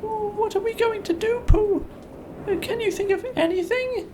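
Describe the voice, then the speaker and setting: Squeaky, timid little storybook piglet. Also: male voice, unhurried, background noise. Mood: worried, questioning. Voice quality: squeaky, thin falsetto. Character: small, timid, polite young piglet from a children's storybook.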